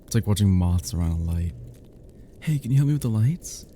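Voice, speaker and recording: A man playing a cozy, teasing young male friend who is sweetly smitten, in an intimate ASMR roleplay, murmuring softly and hesitantly with chuckles. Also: fairly low pitch, animated, fairly quick, slight background noise, natural voice. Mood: shy, affectionate.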